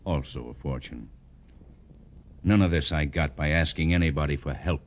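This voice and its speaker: A man's voice: very deep voice, moderate pace. A man playing a pompous, easily cowed underworld big shot in a 1950s radio crime drama. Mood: smug. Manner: boastful and menacing, pleading under pressure. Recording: old radio broadcast, faint background noise.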